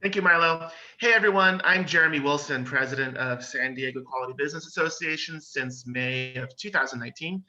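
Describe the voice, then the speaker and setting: A man with a sharp, very bright voice makes a brisk promotional pitch. His voice sits slightly high, and the video call audio sounds dull and lo-fi. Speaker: business association president. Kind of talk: short networking pitch.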